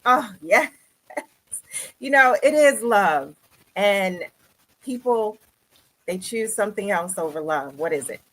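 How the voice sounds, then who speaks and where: A cheerful woman from Baltimore chatting as an interview guest, quick to chuckle. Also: mid-range voice, expressive, fairly bright tone, low-quality recording. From Baltimore, United States. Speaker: strategy consultant, show guest. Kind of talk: conversational interview.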